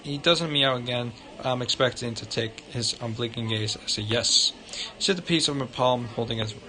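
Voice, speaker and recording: A man's voice: medium pitch, moderate pace. A male livestreamer reading visual novel narration aloud in an informal way, sometimes stumbling over the words. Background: loud guitar music.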